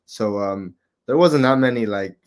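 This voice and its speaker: A young man in his twenties from Qatar chats as a podcast guest. He talks in a relaxed, loose way, filling gaps with ums and likes. His pitch sits in an ordinary middle range for a man. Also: measured pace. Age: 20s. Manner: relaxed, rambling, many fillers. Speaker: guest, amateur MMA fighter. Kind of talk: casual interview chat.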